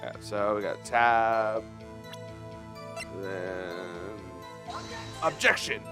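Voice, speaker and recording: Man streaming a game over clear music. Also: lowish voice, expressive, normal speed. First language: not English. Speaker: streamer playing a video game. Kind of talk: casual gaming commentary. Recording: music behind the voice.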